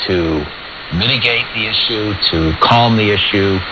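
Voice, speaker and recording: An American man giving measured, diplomatic, explanatory answers as a foreign policy expert in an interview. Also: fairly low pitch. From United States. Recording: dull lo-fi radio relay with static noise.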